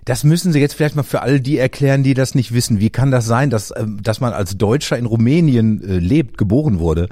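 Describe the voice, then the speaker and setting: Mellow, dry-sounding male interviewer. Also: mid-range voice, fairly quick, hardly any pauses, no echo. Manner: calm, asks clarifying follow-up questions. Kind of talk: interview questions.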